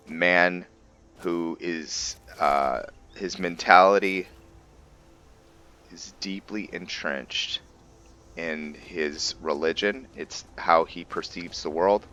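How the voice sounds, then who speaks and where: Male gaming host, low and bright-edged voice, thinking aloud haltingly, with music underneath. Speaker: gaming video host and roleplayer. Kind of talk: casual gameplay commentary with roleplay storytelling.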